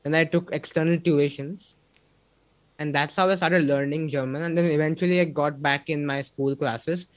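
Young man, Indian English accent, guest on a video-call interview; easygoing, filler-heavy, slightly high voice. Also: steady tone, moderate pace, no room sound. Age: young adult. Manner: rambling, many fillers like "like" and "um". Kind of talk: casual interview chat.

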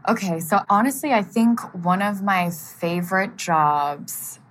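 A woman's voice: medium pitch, a little slow, fairly bright tone, a little hiss or hum. A young woman from Germany whose first language is German, speaking with an American accent as a podcast guest. She chats casually, with lots of fillers and frequent laughter. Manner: chatty, laughs often, many fillers like "like". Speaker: guest, model.

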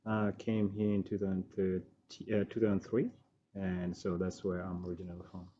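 Sudanese-born man, lowish mellow voice, measured and halting, muffled lo-fi recording. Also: flat delivery, slight background noise. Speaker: learning support officer. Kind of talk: school interview answers. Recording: dull low-fidelity school recording.

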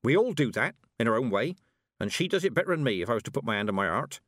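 A man's voice: mid-range voice, big pitch swings, fast, a bit bright, dry recording, studio quality. A man voicing a stern, blunt, proud old country witch woman with emphatic rustic speech, in audiobook style. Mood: earnest, impassioned. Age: older. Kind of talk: audiobook character acting.

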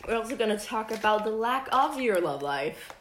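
A woman's voice: a little high, sing-song, normal speed, fairly bright tone, slight background noise. Casual: young woman teasing her cohost, voice kinda worn from a cold, roomy echo. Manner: playful, teasing. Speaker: podcast co-host. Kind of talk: casual chat. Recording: echoey room.

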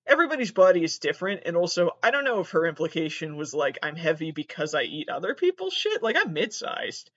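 A man's voice: on the high side, sing-song, quick pace, few pauses, a bit bright. A man from Massachusetts with a North American accent hosts a casual comedic solo podcast, rambling in a self-deprecating, profane, digressive way. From Massachusetts, United States. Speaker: podcast host. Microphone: built-in laptop microphone. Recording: dull lo-fi laptop microphone.

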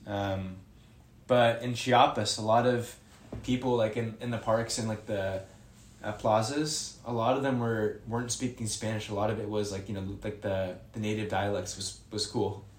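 A man's voice: on the low side, steady tone, normal speed, a little hiss or hum. A young man who is a guest on a podcast, chatting casually in a rambling way and correcting himself. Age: young adult. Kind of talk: casual interview chat. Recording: echoey room.

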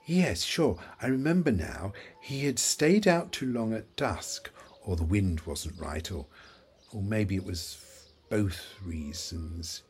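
A husky, creaky old man in an audio drama, over music. He is forgetful and tender, speaking slowly and haltingly as he searches for each thought. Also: fairly low pitch, expressive, darkish tone, full character voice. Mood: searching. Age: older. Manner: halting, searching, repeats himself. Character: elderly forgetful father, confused, wistful, tender. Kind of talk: audio play acting.

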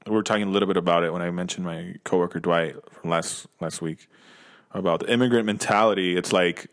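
A man's voice: low-pitched, steady tone, quick pace. An American man co-hosting a podcast in casual chat, rambling and slangy, often repeating himself as he gets to his point. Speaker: podcast co-host.

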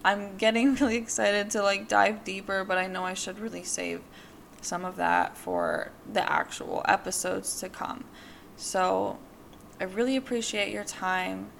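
Podcast host, female, 20s, gravelly, candid and rambling, faint hiss behind. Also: mid-range voice, a bit bright. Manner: candid, rambling, with many fillers. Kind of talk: personal monologue.

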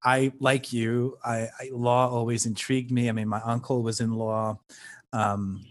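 A man from Canada hosting a podcast interview, chatty and halting, laughing often. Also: mid-range voice, level tone. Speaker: podcast host. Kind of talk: conversational interview.

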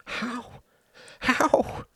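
A man voicing an anguished, frantic young man in an audiobook, with a high, strained, wailing delivery and breathy gasps. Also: very slow, fairly bright tone, dry recording. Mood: wailing despair.

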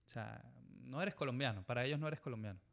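A man's voice: mid-range voice, fast talker, gravelly. Venezuelan man telling stories, casual. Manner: storytelling, acts out quoted dialogue. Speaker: airline pilot guest. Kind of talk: casual podcast chat.

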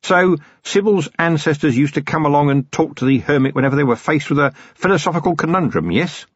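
A man's voice: on the high side, brisk, husky, no echo, pitched above the performer's own voice. A man doing a dry, blunt police commander. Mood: wry. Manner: dry, deadpan, skeptical. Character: blunt, wry, unimpressed male police commander. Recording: dull, dry, lo-fi recording.

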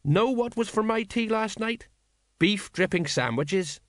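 A man performs an older police sergeant for an audiobook. He grumbles emphatically and rattles easily, with very animated pitch in a dry recording. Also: a little slow, no echo. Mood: grumbling. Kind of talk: audiobook character voice.

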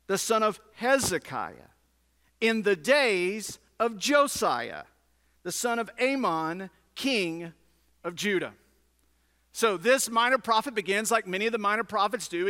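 A man's voice: high voice, pushed and bright. A man preaching a teaching sermon as a pastor, in a conversational style with emphatic repetition and rhetorical questions. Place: large indoor room, preaching to a congregation. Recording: reverberant large room sound.

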